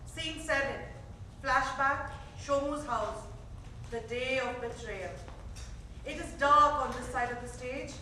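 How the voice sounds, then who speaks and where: A woman's voice: high-pitched, takes it slowly, long pauses, sharp tone, recorded from a distance, full character voice. A woman reading scripted stage directions as the narrator of a staged play. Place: theater stage. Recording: echoey theater recorded from afar, faint noise.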